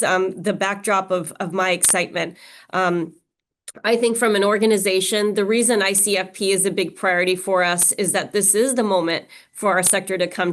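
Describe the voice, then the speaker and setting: Earnest woman interviewer, measured. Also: medium pitch. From Pakistan, raised in Mexico. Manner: earnest, measured, frequent fillers. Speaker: interviewer, organization leader. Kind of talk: conversational interview.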